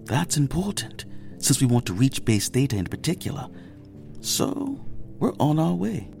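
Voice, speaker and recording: An actor in an audio drama playing a cautious, earnest male technician, measured and hesitant. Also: mid-range voice, sing-song, creaky, darkish tone, soft background music. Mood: cautiously hopeful.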